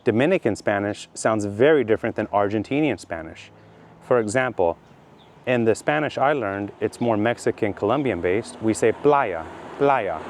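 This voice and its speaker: A middle-aged man from California with a Californian accent, teaching slowly and deliberately for language learners, repeating his key points. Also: lowish voice, expressive, a little hiss or hum. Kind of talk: slow explanatory monologue for learners.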